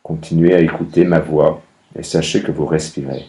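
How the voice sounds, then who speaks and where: A man guiding a meditation as a yoga nidra instructor, speaking calmly in measured instructions with long pauses between phrases. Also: rumbling low. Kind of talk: guided meditation, slow instructions.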